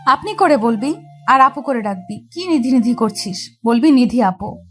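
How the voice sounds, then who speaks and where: Woman as young college girl, Bangladeshi accent, high voice, affectionate and chiding, audio romance, faint music. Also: lively intonation, moderate pace, close to an everyday voice. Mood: hurt scolding. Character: caring young college girl, scolding yet tender. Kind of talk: scripted audio love-story drama.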